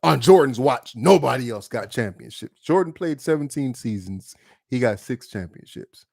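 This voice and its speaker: Podcast guy ranting in casual chat, emphatic and swearing, mid pitch, dead dry sound. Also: moderate pace, vocal fry, no echo. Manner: animated, emphatic, profane. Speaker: podcast co-host.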